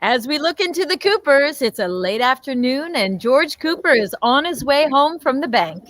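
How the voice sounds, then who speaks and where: A woman's voice: high-pitched, very animated, moderate pace, a bit bright, dry recording. A woman announcing and narrating an amateur old-time radio show in a classic announcer manner.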